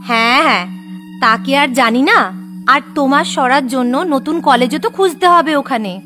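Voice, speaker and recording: A woman playing a practical middle-aged mother in an audio story, gentle but firm. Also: sits high, moderate pace, music in the background, voice pushed up, lightly acted. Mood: agreeable.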